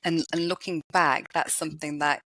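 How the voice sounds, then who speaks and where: Bright, high-voiced woman guest. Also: steady tone, moderate pace, no echo. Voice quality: high-pitched voice. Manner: thoughtful, restarts often, fillers like "you know". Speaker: podcast guest. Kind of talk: casual interview conversation.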